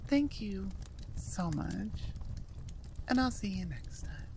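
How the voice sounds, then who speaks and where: A woman's voice: medium pitch, animated, slow, creaky, darkish tone, a little hiss or hum. Chatty American woman, casual outro. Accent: General American. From United States. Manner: chatty, self-deprecating, laughing at herself. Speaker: ASMR voice actor.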